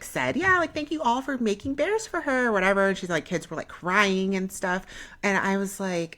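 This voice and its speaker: A woman in her thirties chatting casually as a podcast co-host, telling stories colloquially and acting out reported exchanges in an animated way. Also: fairly low pitch. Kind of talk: casual conversational storytelling with quoted dialogue.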